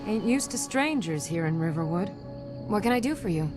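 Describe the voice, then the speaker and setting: Music plays clearly behind a plain-spoken village wife from a fantasy video game, acted by a woman. She is a homely mother and gardener with rustic, folksy phrasing. Her pitch sits in the middle of the usual female range. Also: animated, moderate pace, natural voice. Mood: homely, mildly worried. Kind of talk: video game character dialogue.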